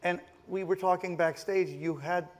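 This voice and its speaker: A man hosting a conference stage interview, introducing his guest warmly and guiding the talk with long questions. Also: high-pitched, fairly even intonation, normal speed. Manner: upbeat, effusive, guiding questions. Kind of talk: onstage interview. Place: conference stage with a live audience.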